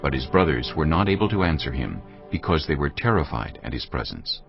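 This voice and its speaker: A man's voice: a bass voice, sing-song, a little fast. A man narrating scripture as a dramatic scripted reading. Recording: dull audio with clear music bed.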